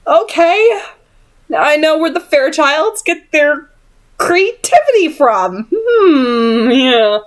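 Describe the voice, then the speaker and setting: A woman reading a novel aloud, with excitable, giggly chatty asides. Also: sits high, slow. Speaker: amateur reader of a novel aloud. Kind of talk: book read-aloud with chatty reactions.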